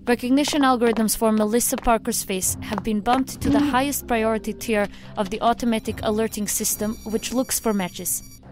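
A woman's voice: on the high side, huge pitch swings, normal speed. A woman playing a businesslike technical agent in a science fiction audio drama, formal and precise in her speech.

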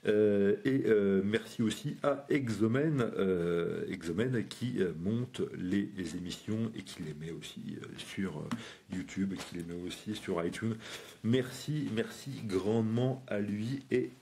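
A man's voice: fairly low pitch, warm tone, a little hiss or hum. A man hosting a history program who speaks casually with many fillers. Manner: halting, filler-heavy. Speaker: podcast host. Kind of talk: casual radio talk.

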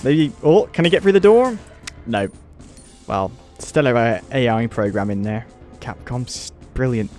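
A man's voice: medium pitch, lively intonation, a little slow, a little mellow, faint music behind. Wry British man commenting on gameplay. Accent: British English. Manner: chatty, wry, laughing at the game. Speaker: let's play host.

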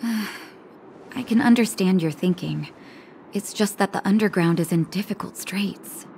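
An actress playing a calm, caring woman doctor, gentle and composed, in scripted video game dialogue. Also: medium pitch, unhurried, slight background noise, natural voice. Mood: gentle, grave. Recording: background music under the voice.

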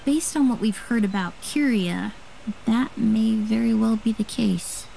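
An actress playing a gentle, attentive young woman in a game, polite and measured. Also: a little high, gravelly, background noise. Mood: gentle concern.

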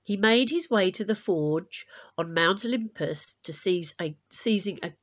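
A woman teacher reading a children's picture book aloud expressively, with deliberate, dramatic emphasis on key words. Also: medium pitch. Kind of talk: expressive storybook read-aloud.

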